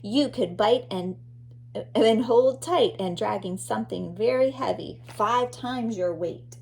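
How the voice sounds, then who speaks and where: A woman's voice: a little high, slow, vocal fry, darkish tone. A woman teacher reading a children's nonfiction book aloud expressively, addressing young listeners.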